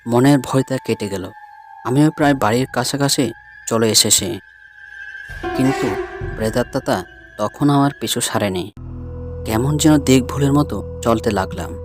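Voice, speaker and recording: A man's voice: mid-range voice, moderate pace, pauses often. Male storyteller narrating over prominent music. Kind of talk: scripted first-person ghost story narration. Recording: music bed behind the voice.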